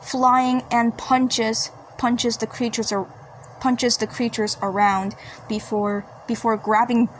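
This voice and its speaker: A woman reads out a homemade fan story at a slow pace. She goes haltingly, often repeating words, with faint noise in the recording. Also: on the high side, level tone. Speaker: fandub narrator and voice performer. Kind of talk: amateur story reading.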